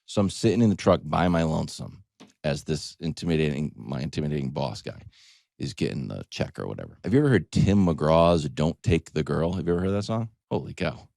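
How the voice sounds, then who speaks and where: A man with a low voice speaks in a dry, close recording. He talks like a sports host sharing a personal story, conversational and emphatic as he makes his points. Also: lively intonation, fairly quick, creaky, darkish tone, dry recording. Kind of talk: casual anecdotal storytelling and sports opinion.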